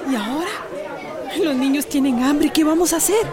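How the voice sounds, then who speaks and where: Woman acting an anxious, high-pitched villager in a crowd. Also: moderate pace, natural voice. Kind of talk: radio drama acting.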